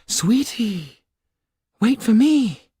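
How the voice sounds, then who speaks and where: A man voicing the gentle, eerie ghost of a murdered woman in a breathy, hushed voice. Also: very high voice, expressive, dry recording, clean studio sound, pitched above the performer's own voice, heavily acted. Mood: eerily coaxing. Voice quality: breathy, whispery. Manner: slow, hushed, drawn-out delivery.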